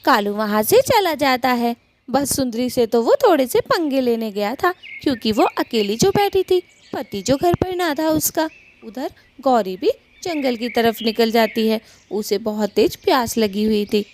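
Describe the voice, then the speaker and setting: A woman's voice: fairly high pitch, animated, unhurried, a little mellow, slight background noise. A woman giving scripted narration for a children's cartoon story.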